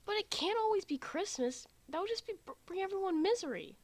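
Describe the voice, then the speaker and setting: Woman playing a blunt, very high-voiced anime guy. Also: lively intonation, brisk, no echo. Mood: insistent lecturing. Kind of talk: amateur fan dub roleplay.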